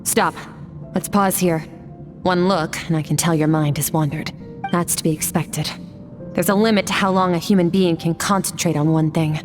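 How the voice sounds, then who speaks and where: An actress playing a cool, stoic sword master in a video game, composed, blunt and measured as she trains a pupil. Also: on the low side, fairly even intonation, over music, natural voice. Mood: calm, instructive.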